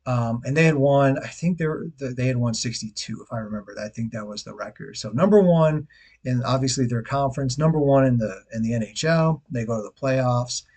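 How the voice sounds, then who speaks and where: A man's voice: medium pitch, a little fast, a little mellow. A man in his forties from Detroit, chatting casually to camera as a travel vlogger, rambling with restarts and the odd chuckle. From Detroit, USA. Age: 40s.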